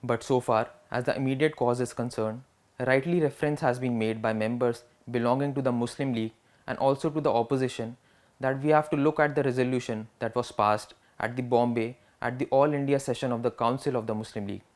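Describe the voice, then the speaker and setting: A man with a medium-pitched voice presents a scripted piece on a historical speech. He reads long quotations formally and in a measured way, clearly setting them apart from his own narration. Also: steady tone, a little mellow. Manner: formal, measured delivery, marking quotations aloud.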